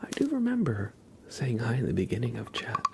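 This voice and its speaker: A man hosting an ASMR livestream, chatting casually in a breathy whisper. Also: mid-range voice, huge pitch swings, a little fast, heavy background noise. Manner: casual chat, greeting viewers by name. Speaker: livestream host.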